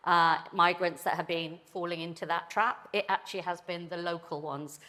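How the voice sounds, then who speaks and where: A woman in her fifties speaking as an immigration panelist, measured and explanatory. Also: fairly low pitch, fairly bright tone. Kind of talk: conference panel answers. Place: conference hall panel. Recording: long hall reverb.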